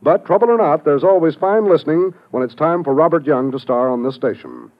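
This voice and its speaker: A man's voice: on the high side, very animated, brisk. A man with an American accent reading scripted copy as a polished, formal 1950s network radio announcer. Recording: muffled vintage radio recording.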